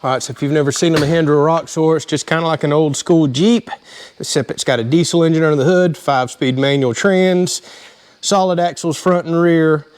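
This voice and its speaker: Higher-pitched man giving hands-on DIY instructions. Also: a little mellow, clean tone. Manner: plain-spoken, explanatory. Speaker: host of a DIY vehicle channel. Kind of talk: casual hands-on tutorial narration.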